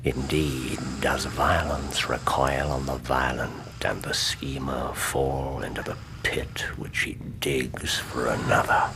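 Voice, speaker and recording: An actor playing a commanding, wry Victorian British gentleman detective in a radio drama, with a deliberate, theatrical delivery. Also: rumbling low, level tone, vocal fry, background noise, full character voice. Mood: grave, hushed.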